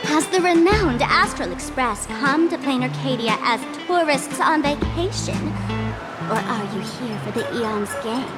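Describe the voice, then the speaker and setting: Teasing female trickster, bright and lilting, theatrical; music bed. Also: high voice. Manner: playful, mock-dramatic, sly.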